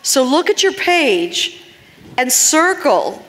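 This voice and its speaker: A woman's voice: high voice, very animated, takes it slowly, a bit bright. A woman in her sixties leading a live workshop, earnest and asking the audience questions. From United States. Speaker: seminar leader. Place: large seminar hall. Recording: long reverb of a seminar hall.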